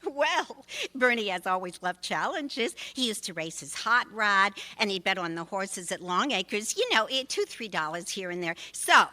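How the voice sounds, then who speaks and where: A woman's voice: mid-range voice, expressive, normal speed, few pauses, sharp tone. An actress playing an earnest, trusting wife in a radio detective drama, chatty and insistent as she explains. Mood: explaining. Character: earnest, loyal, trusting wife, adult woman.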